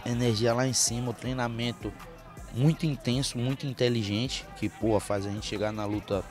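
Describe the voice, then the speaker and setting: A man's voice: mid-range voice, fairly even intonation, moderate pace, over music, a crowd in the background. A young man from Bahia, Brazil, a fighter talking in a casual interview in front of a press backdrop on a handheld mic. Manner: confident, hypes himself up. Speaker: MMA fighter. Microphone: handheld mic held by interviewer.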